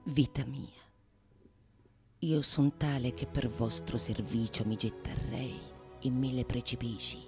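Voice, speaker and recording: A woman's voice: extremely low pitch, slow, over music, voice pushed down, heavily acted. A woman playing a devoted male suitor, pleading breathily and intensely in flowery theatrical language. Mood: pleading, amorous. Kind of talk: theatrical monologue of Renaissance comedy.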